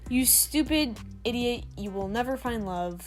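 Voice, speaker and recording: A brash young space recruit played by a woman in a comedic audio drama. Her voice sits fairly high and she delivers everything with cocky, sarcastic swagger. Light music plays underneath. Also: lively intonation, a little slow. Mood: cocky, scornful.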